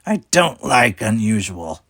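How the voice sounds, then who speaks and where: A man's voice: lowish voice, big pitch swings, slow pace, fairly bright tone, husky, no room sound, full character voice. A man voicing a menacing, curt female alien pirate boss in a sci-fi storybook, snapping out commands. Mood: suspicious, curt.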